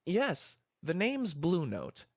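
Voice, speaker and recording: A performer voicing an apologetic, flustered father pony in an animated fan drama, stammering and hesitant. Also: medium pitch, slow pace, husky, no room sound, studio quality, heavily acted. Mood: flustered, surprised.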